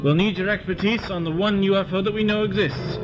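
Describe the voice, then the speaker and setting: Military colonel, male actor, firm and matter-of-fact, fairly high pitch; audio drama, dulled sound, music bed. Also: steady tone, a little fast, lightly acted. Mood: firm, wry. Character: brisk, patient male military colonel giving orders. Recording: dull lo-fi audio drama, loud music underneath.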